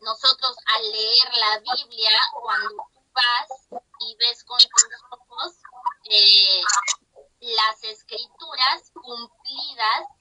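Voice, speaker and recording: A woman's voice: on the high side, pushed and bright. A Mexican woman interviewing a friend over a long-distance call, speaking earnestly and with wonder. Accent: Mexican Spanish. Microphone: video call.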